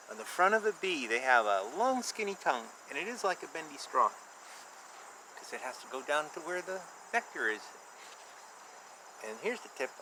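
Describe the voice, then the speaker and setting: A man with a high, bright voice reads a picture book for children. He moves between the story text and simple, informal explanations with an animated delivery. There is clear ambient noise behind him. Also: low-quality recording.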